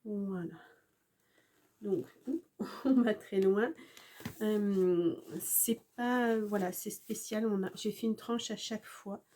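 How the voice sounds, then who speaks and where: Female crafter, sick with a cold, husky voice, chatty and halting. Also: mid-range voice, fairly even intonation, mellow. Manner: chatty, hesitant, many fillers, apologetic. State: has a cold, congested. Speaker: scrapbooking crafter. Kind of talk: casual craft show-and-tell.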